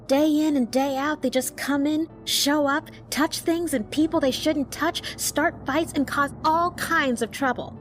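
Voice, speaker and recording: A woman's voice: high-pitched, fairly even intonation, measured pace, few pauses. A woman acting a cheeky, upbeat young bouncer in an audio drama, casual, teasing and slangy. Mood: breezy. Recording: music behind the voice. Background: background music.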